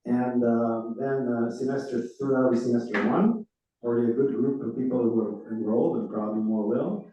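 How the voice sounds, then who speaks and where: A man's voice: medium pitch, fairly even intonation, moderate pace, warm tone, a little hiss or hum. A male rabbi whose first language is Hebrew, giving a chatty, informal run-through of upcoming classes to his congregation. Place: large synagogue room. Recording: echoey hall, far off, dull.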